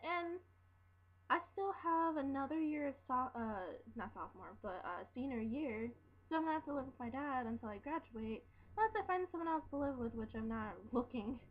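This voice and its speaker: A seventeen-year-old girl ranting casually to camera at home, rambling and halting with lots of fillers and restarts. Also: high-pitched, lively intonation, slight background noise. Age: teens. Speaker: vlogger. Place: room at home. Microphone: built-in laptop mic. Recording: dull lo-fi laptop audio.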